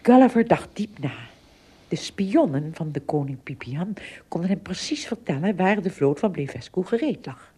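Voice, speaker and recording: A woman narrating a scripted adventure story for a radio play, in expressive storyteller style. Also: lowish voice, normal speed, breathy edge.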